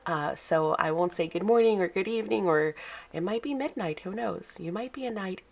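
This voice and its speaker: A woman's voice: medium pitch, lively intonation, moderate pace, vocal fry, darkish tone. A woman hosting a casual craft tutorial, with a froggy morning voice, chatting step by step with plenty of fillers. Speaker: craft video host. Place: home craft desk, early morning.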